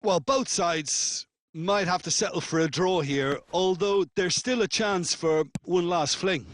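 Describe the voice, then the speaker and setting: Male co-commentator for a football game, explanatory and conversational, high voice, no room sound. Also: unhurried, no echo. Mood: analytical.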